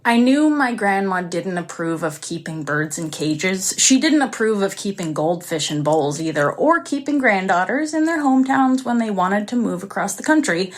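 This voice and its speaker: A woman's voice: medium pitch, natural voice. An actress playing an isolated, anxious young woman who recounts her story in an intimate, confessional way for an audio drama. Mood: worried. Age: young adult. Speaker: actress performing a monologue. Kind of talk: dramatic first-person audio drama narration.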